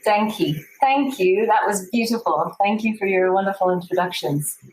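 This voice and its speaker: A woman's voice: mid-range voice, moderate pace, reverberant, a little hiss or hum, poor audio. Encouraging woman moderating a video panel. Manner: encouraging, with frequent "um" and gentle prompts. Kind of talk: hosting an online panel. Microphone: video call.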